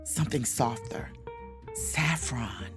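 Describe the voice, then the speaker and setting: A woman's voice: very deep voice, takes it slowly, over music. A woman playing a wry, awkward, overworked starship chief mate on vacation in a romantic comedy audio drama, with muttered, self-interrupting asides. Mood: flustered, flirty.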